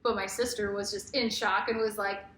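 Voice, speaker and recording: A woman's voice: mid-range voice, steady tone, normal speed, a bit bright. An American woman in her mid twenties telling personal stories in a casual, candid way as she co-hosts a Q&A. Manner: informal, candid. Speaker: vlogger, co-host of couple's Q&A. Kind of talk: casual chat, personal storytelling. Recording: echoey room, faint background noise.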